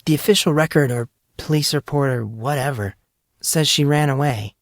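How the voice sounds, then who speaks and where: A man reading the part of a shy, sad young woman who confides softly and earnestly in an audio drama. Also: fairly high pitch, moderate pace, dry recording, clean studio sound, voice pushed up, lightly acted.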